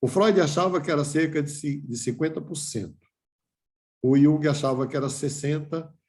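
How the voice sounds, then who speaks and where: A man's voice: a little high, normal speed, darkish tone, roomy sound. An older man giving a reflective lecture to a class, asking himself questions and restarting phrases.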